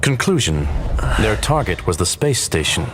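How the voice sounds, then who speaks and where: An actor playing a calm, courteous robotic gentleman in a video game, speaking in formal, measured statements. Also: on the low side, background noise. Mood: calm, analytical. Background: background music.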